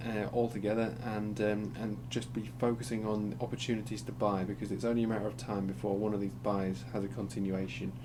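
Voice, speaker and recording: A man with a croaky voice from being unwell, talking through market charts in a rambling, hesitant way with many ums and restarts. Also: fairly low pitch, a little fast, few pauses. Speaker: crypto chart analyst. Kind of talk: casual screen-share market commentary. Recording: steady background hum.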